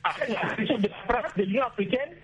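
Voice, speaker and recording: A man with a Chadian accent calling in to a radio show, arguing his political opinion haltingly, repeating words. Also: very high-pitched, expressive, a bit bright, husky. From Chad. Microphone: phone. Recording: muffled, lo-fi phone line.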